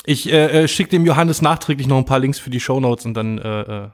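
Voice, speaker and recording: A man's voice: mid-range voice, brisk. A man, co-hosting a casual podcast and rambling through jokey tangents. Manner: rambling and joking. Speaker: podcast co-host. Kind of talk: casual chat.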